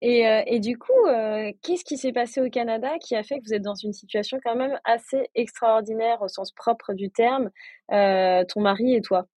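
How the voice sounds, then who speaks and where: A woman's voice: on the high side, moderate pace, dark tone, no room sound. A woman hosting a podcast interview, asking friendly questions with small hesitations and laughing easily. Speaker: podcast host.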